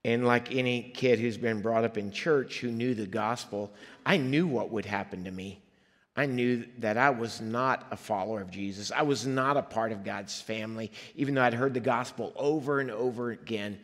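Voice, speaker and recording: A man's voice: medium pitch, moderate pace, long reverb tail. A man who grew up in Liberia, a guest preacher telling his own testimony in a church sanctuary, earnest and conversational with asides to the congregation. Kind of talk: personal testimony in a sermon.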